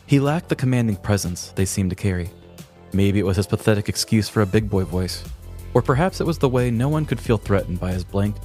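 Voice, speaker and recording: Low-voiced man, mock-grand storyteller over music. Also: darkish tone. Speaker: podcast co-host. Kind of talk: dramatic scripted comedic storytelling.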